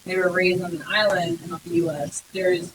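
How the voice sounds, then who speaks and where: A young woman from the United States hosting a podcast, talking in a lively, emphatic, casual way. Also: lowish voice, a little fast, a little hiss or hum, low-quality recording. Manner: lively, emphatic, swears freely. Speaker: podcast host.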